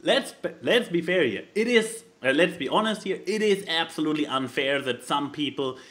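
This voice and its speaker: A podcast co-host with a widely swooping, animated voice in a middle register. The delivery is casual and theatrically emphatic, with halting patches and stumbles over words. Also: medium pitch. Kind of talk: casual chat, reading out and commenting.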